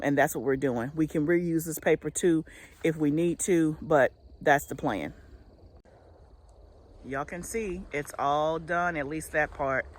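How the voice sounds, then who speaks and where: A middle-aged woman talks casually to her viewers, calling them y'all and restarting her sentences as she goes. She speaks outdoors in an open farm field, recording into a phone held at arm's length. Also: low-pitched. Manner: chatty, keeps addressing viewers as y'all, frequent restarts. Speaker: homestead vlogger. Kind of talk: casual chat to camera.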